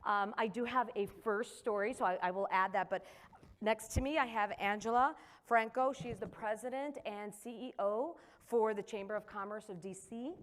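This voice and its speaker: A woman's voice: medium pitch, moderate pace. Woman moderator opening a panel, upbeat and admiring. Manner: enthusiastic, warm praise of guests. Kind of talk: introducing a panel.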